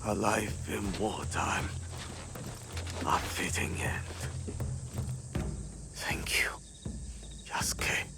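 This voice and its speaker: Gravelly, whispering dying warrior leader. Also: male voice, fairly low pitch, very slow, pauses often, background noise, heavily acted. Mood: weary, resigned. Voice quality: breathy, gravelly, fading into whispers. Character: defeated, dying male warrior leader, bitter and defiant. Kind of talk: video game cutscene acting.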